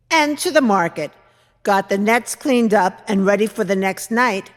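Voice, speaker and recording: A woman playing a fretful, opinionated widowed mother-in-law from biblical times in a confiding, deliberate dramatic monologue. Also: medium pitch, close to an everyday voice. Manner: confiding, storytelling, deliberate with rhetorical pauses. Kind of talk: dramatic monologue reading in church. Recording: echoey hall with long reverb.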